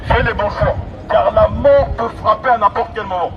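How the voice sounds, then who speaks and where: A high-voiced man delivers an emphatic street sermon through a megaphone. He exhorts in repeated phrases, and the sound is dull, with loud traffic and city noise around him. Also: moderate pace, gravelly, recorded from a distance, a faint crowd. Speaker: street evangelist. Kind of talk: open-air megaphone sermon. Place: outdoors in an urban public street. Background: traffic and city ambience.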